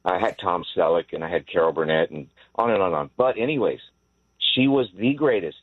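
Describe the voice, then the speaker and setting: An American man in his sixties calling a radio show, telling a personal story in an earnest, halting way. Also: low-pitched, animated, slightly rough, no room sound. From Hawaii, United States. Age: 60s. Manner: earnest, halting, repeats words. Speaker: phone-in caller. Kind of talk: casual personal anecdote. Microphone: telephone. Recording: tinny narrow phone line.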